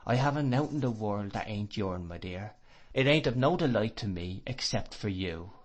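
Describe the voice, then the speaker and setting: A humble, gentle young man speaks softly and tenderly in rough country dialect, as a male narrator puts him on. His voice sits low and quiet in a storybook reading. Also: animated, moderate pace.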